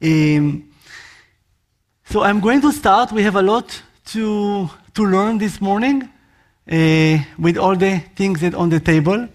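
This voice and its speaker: A man from Israel with an Israeli accent, a missionary preaching a sermon in an earnest, expressive way. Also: high voice, slow.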